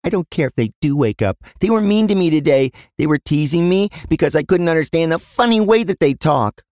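A man acts out a little girl in an audiobook story. The voice is lifted well above his natural pitch. She sounds childlike, sleepy and plaintive, recorded close and dry. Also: expressive, a little fast, a little mellow, no echo, lightly acted. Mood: plaintive, curious. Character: sleepy little girl, McTavish's youngest daughter.

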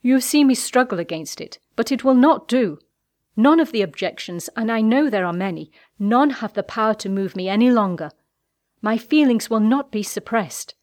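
A woman playing a proud, ardent young gentleman in an audiobook, speaking with formal, declamatory intensity. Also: medium pitch, moderate pace, natural voice. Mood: intense, ardent.

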